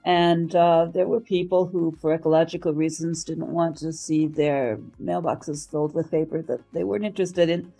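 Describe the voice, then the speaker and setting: An older woman with an American accent, answering interview questions in an articulate, measured way, pausing now and then to find words. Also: low-pitched, steady tone, gravelly, dark tone, faint music behind. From United States. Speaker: interview guest, marketing professional and professor. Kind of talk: interview answers, career account.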